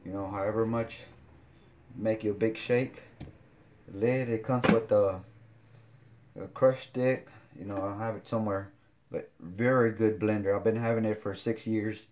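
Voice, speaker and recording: Man, 40s, lowish voice, halting and filler-heavy, casual home kitchen demo. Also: steady tone, a little mellow, slight background noise. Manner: halting, many fillers and restarts. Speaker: fitness vlogger. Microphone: camera on counter.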